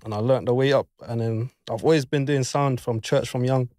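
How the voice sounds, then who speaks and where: A man's voice: medium pitch, steady tone, brisk, darkish tone, dry recording. A man talks as a podcast guest, easygoing and brief, with plenty of slang. His voice sits in a middle range. The recording is dry. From West London. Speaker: podcast guest, audio engineer. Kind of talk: casual chat.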